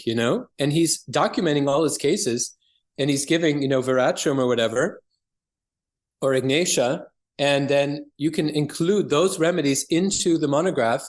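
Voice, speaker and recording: A man speaking as an interview guest, explaining things conversationally and earnestly as a practitioner. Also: fairly high pitch.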